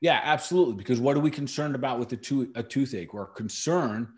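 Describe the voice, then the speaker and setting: A man's voice: mid-range voice, fast talker. Middle-aged male podcast host; casual, emphatic explainer who doubles up words for stress. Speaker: natural medicine practitioner and podcast host.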